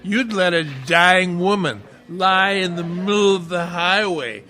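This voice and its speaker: A man acts out a drunken, long-winded sidekick from an old radio detective play. His voice sits a little high and moves slowly. He rambles with wry, theatrical philosophizing. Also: steady tone, pushed and bright. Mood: mock scolding. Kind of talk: old-time radio detective drama acting.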